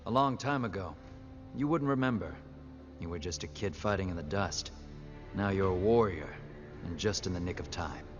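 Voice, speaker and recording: Low-voiced, composed male officer acted out. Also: natural voice. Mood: calm approval. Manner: measured, quietly commanding. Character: composed, knowing older male naval officer. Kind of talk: animated military sci-fi drama. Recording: slightly dull, music behind.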